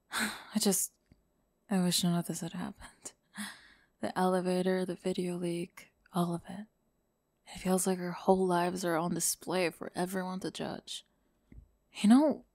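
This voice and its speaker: A woman playing a weary, upset young pop star, speaking softly and breathily with sighs in an intimate ASMR roleplay. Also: on the low side. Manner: soft, breathy, sighing.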